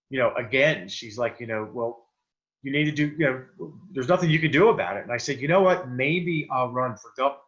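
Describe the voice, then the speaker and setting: A man's voice: medium pitch, fairly bright tone. A man from Delaware, a political candidate, giving an earnest, plain-spoken campaign talk on a video call with many fillers. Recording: dull lo-fi video call audio.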